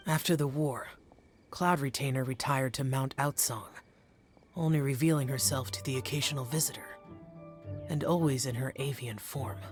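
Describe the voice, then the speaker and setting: With music behind, a man plays a youthful immortal guardian, aloof and stoic. His speech is formal, measured and reserved, in fantasy game style. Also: medium pitch, lightly acted. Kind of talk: video game voice acting.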